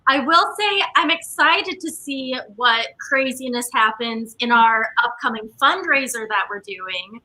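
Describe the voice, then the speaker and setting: A woman's voice: fairly high pitch, animated, measured pace, few pauses, bright, forceful tone, poor audio. A woman guest chatting casually and telling stories in an informal way. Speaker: guest, stage actor from a theater company. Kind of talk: casual anecdotal chat.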